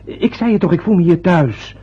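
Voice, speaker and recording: A young actor playing a grown son in a radio play, hesitant and evasive, speaking haltingly. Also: male voice, high-pitched, lively intonation, husky, heavily acted. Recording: dull lo-fi old radio recording.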